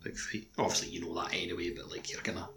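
A man's voice: deep voice, flat delivery, quick pace, vocal fry, reverberant, over music. A man co-hosting a podcast, chatting casually about video games. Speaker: podcast co-host.

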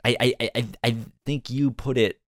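A man's voice: mid-range voice, fairly even intonation, moderate pace, dry recording, clean studio sound. A man co-hosting a casual review podcast, who often stammers and repeats words as he starts a thought. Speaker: podcast co-host.

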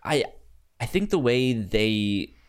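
A man chatting casually about a film as a podcast co-host, with a halting, stammering delivery. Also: medium pitch, lively intonation, smooth.

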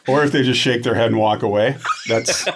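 A man's voice: mid-range voice, fairly even intonation, fairly quick, noisy background. A middle-aged man talking casually and self-deprecatingly as an interview guest, in a loose, rambling way. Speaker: guest, audio drama writer and director. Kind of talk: casual interview talk about writing.

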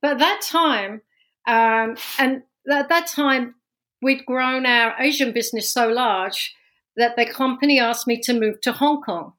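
A woman's voice: on the high side, unhurried, a bit bright. London-accented woman telling her story. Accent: London English. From London, England. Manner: storytelling, with frequent restarts and laughs. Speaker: podcast guest. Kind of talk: casual interview talk.

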